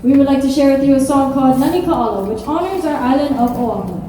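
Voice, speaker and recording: A woman's voice: high-pitched, fast, warm tone. A woman presenting a live hula show in formal, welcoming stage announcements. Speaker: show presenter at a cultural center. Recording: echoey, from far off, noisy crowd venue.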